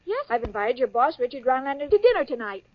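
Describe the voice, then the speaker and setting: Ditzy, bubbly young woman, very high, dry lo-fi old radio sound. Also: big pitch swings, fast, no echo. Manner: bubbly, earnest, scatterbrained phrasing. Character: ditzy, sweet young woman, cheerful and muddled. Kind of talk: 1940s radio sitcom acting. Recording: dull lo-fi old radio broadcast.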